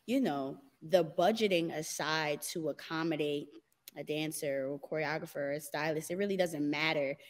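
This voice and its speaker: A woman's voice: sits low, normal speed. A young adult woman, a dancer and model, talking candidly as a guest in a casual interview, often laughing and using "y'all" and "like.".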